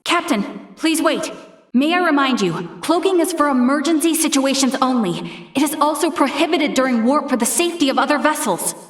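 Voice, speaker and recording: A young female starship first officer for a sci-fi video game. Her voice is high and studio-dry, with precise, formal diction. She comes across as earnest and principled, every sentence carefully put. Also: lively intonation, brisk, no room sound. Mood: urgent, protesting.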